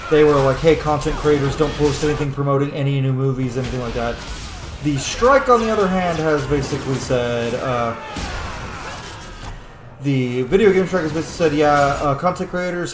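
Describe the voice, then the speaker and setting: A man giving casual let's play commentary as a streamer, with rambling asides and playful exclamations at the game's characters. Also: medium pitch. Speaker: gaming streamer and content creator. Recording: roomy echo under loud game music. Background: video game music.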